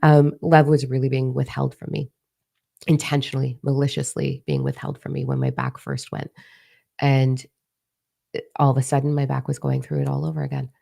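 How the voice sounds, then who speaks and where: A young woman with a hoarse, gravelly voice, partly lost, speaking in a warm, dark tone. She talks as a spiritual teacher in a loose, confiding way, swearing freely and sharing openly. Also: a bass voice, lively intonation, moderate pace. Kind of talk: casual spiritual talk to followers.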